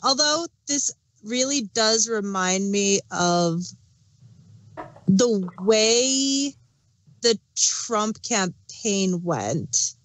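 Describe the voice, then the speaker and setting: A woman's voice: medium pitch, expressive, drawn-out words, no room sound, low-quality recording. A woman speaking as a panelist in a casual review discussion.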